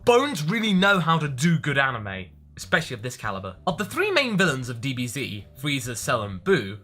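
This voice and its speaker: A man with a bright, forceful voice speaks in a casual vlog style. His pitch moves around a lot as he talks. He comes across as an enthusiastic online host answering questions from his audience. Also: a little fast, soft background music. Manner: enthusiastic, animated. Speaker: online vlogger.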